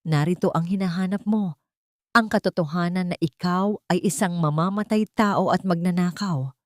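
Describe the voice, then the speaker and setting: A woman's voice: on the low side, normal speed, no room sound, studio quality, close to an everyday voice. Woman playing a defiant, resolute mother. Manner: firm, dramatic. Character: resolute, defiant wronged mother, cold and authoritative.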